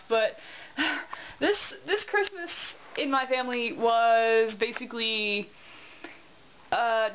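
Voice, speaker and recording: A young woman in casual vlog chat, rambling and self-deprecating, tired and congested with a sore throat. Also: fairly high pitch. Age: young adult. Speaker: vlogger. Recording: dull lo-fi sound with faint hiss.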